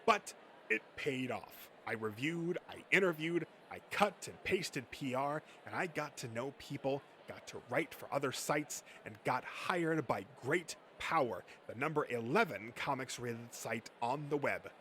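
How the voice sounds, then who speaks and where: A man's voice: medium pitch, lively intonation, measured pace, pushed and bright, a little hiss or hum. A man voicing a boastful, thin-skinned male comic-book nerd who rants and swears self-importantly in an amateur comic dub. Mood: smug.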